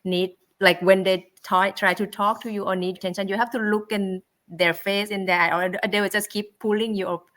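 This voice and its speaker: A woman's voice: mid-range voice, fairly even intonation, moderate pace, hardly any pauses, fairly bright tone. A woman in her forties from Thailand, a native Thai speaker, talking as a guest in a casual interview, hesitant, with many fillers and restarts.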